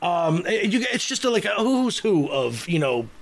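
An American man with a notably high-pitched voice hosts a casual podcast. He rambles in a jokey, self-deprecating way, talking like he is hanging out with a friend. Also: big pitch swings, normal speed, vocal fry. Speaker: podcast host. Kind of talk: casual chat.

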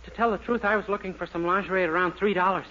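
A man's voice: sits high, steady tone, very fast, pushed and bright, dull audio, a little hiss or hum. An actor playing a naive, bashful young man who gets flustered easily, in 1940s radio sitcom acting.

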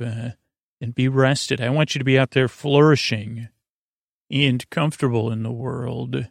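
A male podcast host with a very creaky, fry-laden voice at a middling pitch. He wanders through a bedtime monologue meant to send people to sleep, stopping and restarting with plenty of ums. The sound is close and dry, with no room around it. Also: no room sound. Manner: meandering, halting with many ums and repeated words. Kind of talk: rambling bedtime monologue to lull listeners to sleep.

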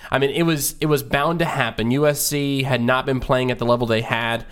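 A man's voice: mid-range voice, steady tone, brisk, fairly bright tone, clear, resonant tone. A man co-hosting a casual sports podcast, chatting in an easygoing, laid-back way. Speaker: podcast co-host.

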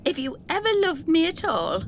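A woman voicing a determined young woman who talks crisply and resolutely to her father. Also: very high voice, big pitch swings, normal speed, slight background noise, pitched above the performer's own voice. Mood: firm.

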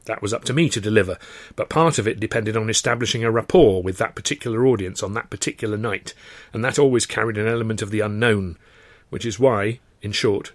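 A man's voice: on the low side, no room sound. A man reading a first-person novel aloud as scripted audiobook narration. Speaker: author reading his own novel, narrator.

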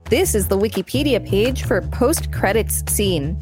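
Woman cohosting a podcast, reads articles out loud and chats about them, medium pitch, faint music behind. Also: lively intonation, a little slow. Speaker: podcast co-host. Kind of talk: reading an article aloud with casual commentary.

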